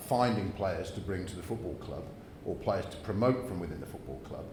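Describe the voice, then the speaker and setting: A man's voice: on the low side, roomy sound, noisy background. A man hosting a fans' forum, putting questions to the panel in a conversational way. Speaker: host and moderator. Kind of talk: moderating a panel Q&A.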